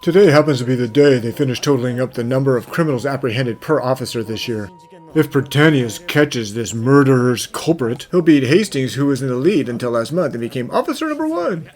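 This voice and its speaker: A man voicing a curious, gossipy ordinary police officer in an animated fan dub, speaking conversationally. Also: mid-range voice, fairly quick, close to an everyday voice.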